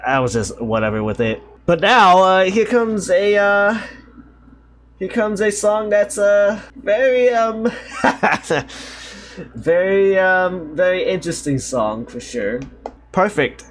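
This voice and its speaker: A man's voice: sits high, animated, takes it slowly. A man casually reacting to an album, rambling and hesitant, joking and now and then singing along. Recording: music playing clearly behind. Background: song playing loudly.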